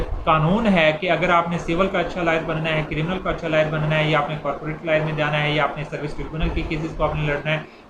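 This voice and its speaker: A man with a Pakistani accent giving an informal explanatory law lecture, speaking haltingly and repeating words as he explains. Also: on the high side. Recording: echoey room, clear background noise.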